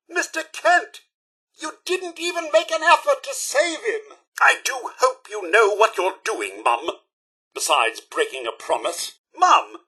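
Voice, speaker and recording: A fussy British robot butler from a video game, loyal yet snippy. The voice sits high and swoops widely, with a bright, forceful edge. The delivery is posh, theatrical and elaborately polite. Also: male voice, breathy edge, heavily acted. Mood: reproachful, dismayed. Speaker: companion character in a video game. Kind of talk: video game voice acting, short dialogue lines.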